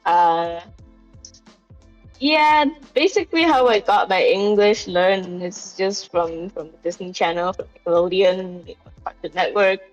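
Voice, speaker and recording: A young woman from Malaysia chatting casually as a guest, often halting and repeating words. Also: fairly low pitch, dull audio, soft background music. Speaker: podcast guest. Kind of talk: casual chat.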